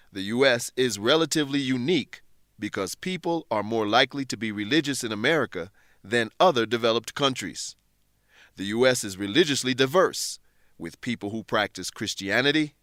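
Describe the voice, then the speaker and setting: A man presenting an English lesson in scripted narration, explaining things in a measured way with careful enunciation. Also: mid-range voice, fairly bright tone, dry recording, clean studio sound.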